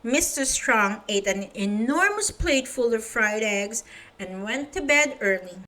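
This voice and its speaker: A woman narrates a picture book for young children. Her voice sits a little high and her pace is slow, and she talks straight to the listener as she reads. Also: lively intonation, sharp tone, low-quality recording. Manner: addresses young listeners directly. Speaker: children's story reader. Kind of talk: read-aloud storybook narration.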